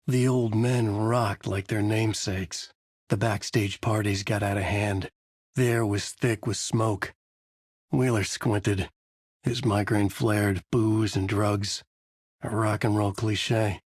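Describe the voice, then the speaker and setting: Haunted writer, low husky voice, grave noir horror narration, measured and dramatic, video game, close dry sound. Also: male voice, a little mellow, no room sound. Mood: reflective, grim. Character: brooding, haunted male novelist narrating his horror story. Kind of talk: scripted noir horror narration, inner monologue.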